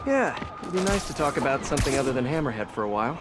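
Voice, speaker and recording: A man's voice: medium pitch, very animated, racing, natural voice. An American man playing a wisecracking, chatty young superhero in a video game cutscene. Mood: easygoing banter. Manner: quippy, playful, chatty. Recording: loud background music.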